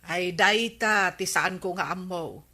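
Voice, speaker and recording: Village official's wife, Ilocano accent, forceful bright voice, gossipy, exclamatory, comic radio drama. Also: female voice, lowish voice, takes it slowly, heavily acted. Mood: gossipy, concerned. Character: gossipy, easily astonished village captain's wife. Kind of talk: Filipino radio comedy drama acting.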